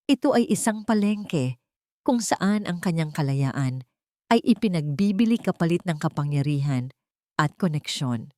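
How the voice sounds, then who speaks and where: A woman's voice: sits low, lively intonation, moderate pace, mellow, no echo, clean studio sound. A woman reading a scripted, dramatic love story as a storyteller.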